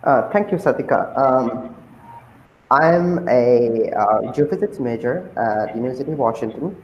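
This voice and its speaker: A young man from Sri Lanka answering interview questions as a guest, pausing often with ums and uhs. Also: medium pitch, lively intonation, echoey room, dull audio, a little hiss or hum. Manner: hesitant, many ums and uhs.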